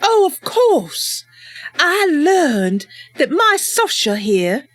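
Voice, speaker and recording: Game heroine: woman as kindly female wood elf, earnest and emphatic, high voice, unhurried. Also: big pitch swings, no echo, faint music behind, full character voice. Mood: friendly. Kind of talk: roleplay game character voice.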